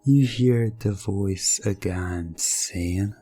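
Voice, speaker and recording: A man narrating scripted hypnosis ASMR in the second person, drawing out his phrases in a halting, suggestive way. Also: lowish voice, warm tone. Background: music.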